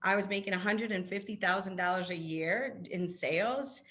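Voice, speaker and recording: A woman's voice: mid-range voice, moderate pace, a bit bright. A woman born in Poland whose first language is Polish, a business founder telling her story in an interview, earnest and prone to restarts. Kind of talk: interview conversation.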